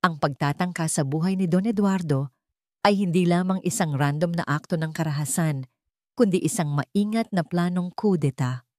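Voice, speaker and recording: Female Filipino storyteller, low register, measured and formal audiobook read, very dry sound. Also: dry recording. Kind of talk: scripted dramatic audiobook narration.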